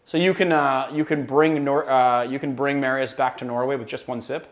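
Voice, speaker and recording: Loud resonant guy hosting a drinks livestream, rambly and chatty. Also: mid-range voice, fairly even intonation, moderate pace. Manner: chatty, rambling, answers viewer questions. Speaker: cocktail channel host. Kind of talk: livestream casual chat.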